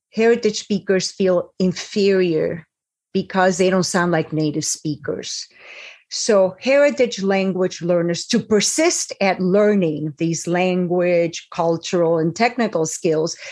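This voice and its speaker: A woman's voice: fairly low pitch, darkish tone. A woman, a professor, answering panel questions in a direct, emphatic way over a video call.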